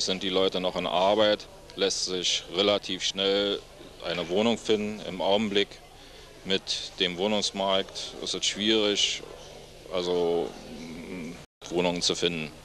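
A middle-aged man giving measured, factual interview answers in official wording, speaking into a handheld microphone. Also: sits low, fairly bright tone. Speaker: interview guest, head of a homeless hostel. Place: seated among plants in a senior citizens' centre.

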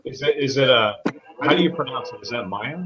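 A man asking questions on a video call, halting and repeating himself as he speaks. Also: mid-range voice, highs cut off, background noise.

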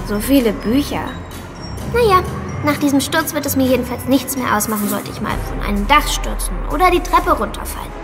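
A woman playing a curious, chatty, plucky little girl in a German audio drama, wondering aloud to herself. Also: sits high.

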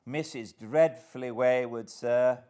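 A man's voice: fairly low pitch, takes it slowly, darkish tone, pitched above the performer's own voice. A man voicing an outspoken, exasperated servant woman, blunt and scolding, in an audiobook. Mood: exasperated, advising. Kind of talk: audiobook character acting.

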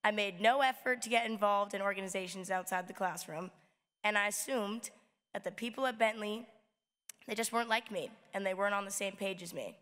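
Raspy young woman at a lectern. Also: medium pitch, brisk. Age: young adult. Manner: casual, chatty, lively gestures. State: hoarse from screaming. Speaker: student government president. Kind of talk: welcome speech. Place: large arena, indoors. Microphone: lectern mic. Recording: long hall reverb.

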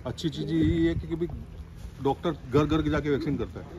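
A man's voice: on the high side, brisk, muffled recording. A man from Rajasthan answering casually as a goat trader, repeating words and phrases for emphasis. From Rajasthan, India. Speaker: goat farm trader giving advice.

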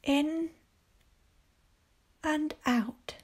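A woman teacher leading a guided mindfulness body scan for children, speaking calmly and gently in short phrases with long pauses. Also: high voice. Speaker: primary school teacher leading a guided meditation.

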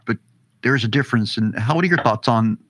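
A man's voice: medium pitch, a little fast, a bit bright, dry recording. A man hosting a podcast interview in a studio, posing questions and thanking his guests. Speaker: podcast host.

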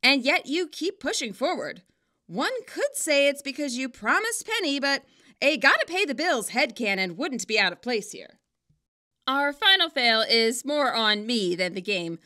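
A woman's voice: high voice, big pitch swings, unhurried, sharp tone. A woman giving scripted narration, moving between playful, wry commentary and storytelling. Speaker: fan fiction author and video narrator.

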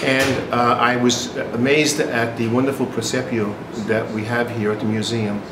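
A man's voice: mid-range voice, flat delivery, normal speed. A man in his sixties from New York whose first language is Sicilian, reminiscing about family Christmases in an interview with many fillers and restarts. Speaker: interviewee, English professor. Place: indoors in a museum room. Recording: roomy echo with room noise.

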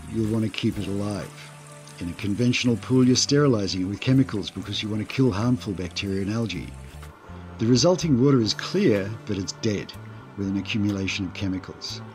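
A man who owns an eco lodge, narrating a scripted voice-over in a calm, measured, explanatory way. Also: on the low side.

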